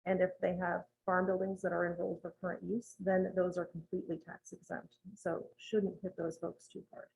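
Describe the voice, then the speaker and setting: Husky, low-voiced woman briefing a town board. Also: flat delivery, a little mellow, muffled recording. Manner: measured, methodical, with frequent "um"s. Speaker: municipal finance advisor presenting to a town board. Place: town meeting room.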